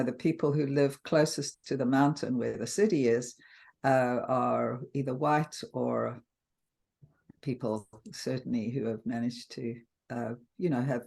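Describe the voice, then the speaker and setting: Older South African woman, halting and earnest. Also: extremely low pitch, vocal fry, mellow. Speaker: interview guest. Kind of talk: casual interview talk.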